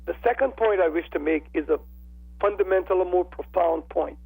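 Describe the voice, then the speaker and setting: An older man with a croaky, raspy voice, giving deliberate, formal political commentary as a phone-in caller. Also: sits high, darkish tone, no echo. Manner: deliberate, formal, oratorical phrasing. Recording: telephone call into radio show.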